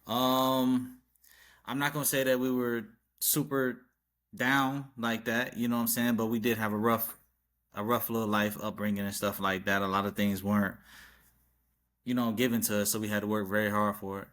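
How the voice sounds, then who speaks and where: A man from Arkansas talking as a podcast guest, relaxed and informal, with a habit of saying "yes, sir" and chuckling between stories. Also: on the low side, normal speed. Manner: laid-back street talk, repeats "yes, sir", chuckles often. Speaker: podcast guest, tattoo artist and rapper. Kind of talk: casual interview chat.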